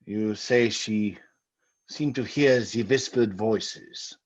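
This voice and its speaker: Old radio drama occult doctor, grave n halting, rough husky voice, muffled lo-fi recording. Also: male voice, fairly low pitch, a little mellow. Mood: pensive. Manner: halting, deliberate, theatrical. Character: grave, scholarly occult doctor, ponderous and ominous.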